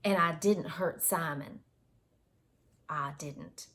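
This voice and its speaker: A woman's voice: deep voice, slow, a bit bright. A woman voicing an earnest teenage boy with a Southern accent. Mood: defensive. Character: teen boy, earnest baseball pitcher.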